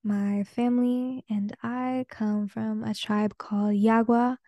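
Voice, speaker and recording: A woman's voice: fairly high pitch, little pitch movement, slow pace, warm tone, no room sound. A young woman from Peru, a Spanish native speaker, hosting a solo podcast and talking in an earnest, open, casual way with some slang. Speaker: podcast host. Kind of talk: reflective solo podcast talk.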